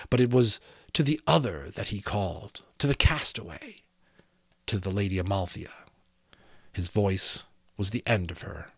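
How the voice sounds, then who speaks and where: A man in his thirties reads a fantasy novel aloud as an expressive, dramatic audiobook narrator, close to a desk microphone in a small room. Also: lowish voice, no room sound. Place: small home room with sloped ceiling, indoors.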